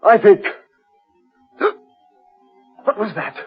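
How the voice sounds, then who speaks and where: An actor playing a frightened, agitated man in an old-time radio horror drama, speaking haltingly and insistently. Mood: alarmed. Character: frightened, panicky man named Crane, lost in dark forest. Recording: dull vintage radio recording with music.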